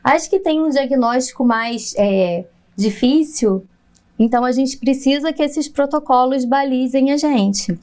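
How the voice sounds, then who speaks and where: A woman's voice: high voice, unhurried, a little mellow. A woman, a pediatric dentist explaining her work in a podcast interview, patiently and with frequent laughter.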